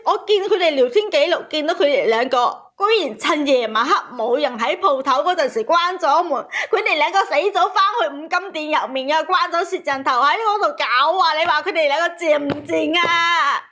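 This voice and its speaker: A woman's voice: high-pitched, moderate pace, hardly any pauses, pushed and bright. A woman with a Cantonese accent telling her personal story as a caller, agitated and emphatic, often repeating her phrases. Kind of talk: emotional personal storytelling on a call-in show.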